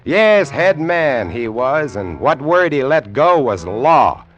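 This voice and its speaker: A man's voice: mid-range voice, big pitch swings, slow, fairly bright tone, lo-fi sound, faint music behind. An actor playing a lighthouse keeper who tells his sea tale vividly and dramatically, in old radio drama style. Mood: wry, reminiscing. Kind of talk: golden-age radio drama narration. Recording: old radio recording with musical underscore.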